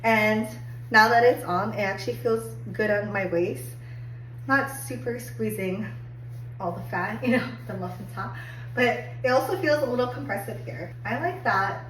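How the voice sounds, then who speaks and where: A woman getting over a cold and a little hoarse, chatting upbeat through a clothing try-on review with lots of "like"s. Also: a little high, moderate pace, fairly bright tone. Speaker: fashion haul vlogger. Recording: echoey room, distant mic, faint hum.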